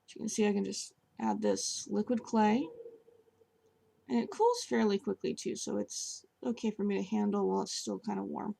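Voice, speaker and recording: Woman, hoarse, scratchy, gravelly fry, casual chatty demo at a home table, middling pitch. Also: very animated, moderate pace, warm tone. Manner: chatty, with fillers while working with her hands. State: hoarse, voice partly lost. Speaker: sculptor reviewing clay. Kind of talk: casual demonstration.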